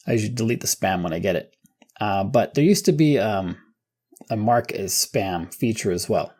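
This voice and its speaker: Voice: medium pitch, a little mellow, slightly rough. A tech tutorial host gives casual, conversational step-by-step instructions, thinking aloud informally.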